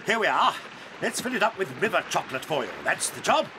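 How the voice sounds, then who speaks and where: An actor playing an eccentric, excitable male chocolate factory owner, playful and theatrical, in a studio audio drama. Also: high voice, quick pace, background noise. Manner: playful, theatrical, bursts into rhyming chants.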